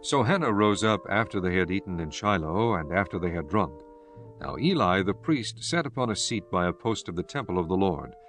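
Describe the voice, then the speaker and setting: A man narrating scripture from a script in a solemn, measured storytelling manner. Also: sits low, expressive, fairly bright tone. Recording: music bed behind the voice.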